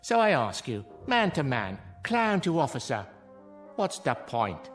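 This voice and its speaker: An actor playing a sardonic, world-weary clown in a radio drama, with florid, grandly mocking delivery. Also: male voice, medium pitch, very animated, a little slow, a bit bright, soft background music. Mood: wry, resigned.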